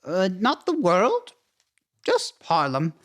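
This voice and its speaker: A man's voice: sits high, huge pitch swings, slow pace, fairly bright tone, heavily acted. A man playing a centuries-old vampire count, a fretful and formal scoundrel, in a campy horror-comedy film. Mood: sheepish.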